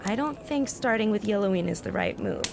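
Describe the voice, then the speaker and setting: A woman's voice: medium pitch, animated, a little fast, gravelly, noisy background. A woman playing a level-headed teenage American girl in a fantasy audio drama, reasoning firmly and assertively with her friends.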